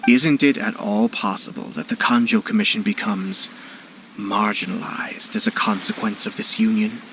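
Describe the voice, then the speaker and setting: A man playing a composed, cunning young nobleman in a video game, measured, courteous and cutting. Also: fairly low pitch, fairly bright tone, a little hiss or hum. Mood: calm, calculating.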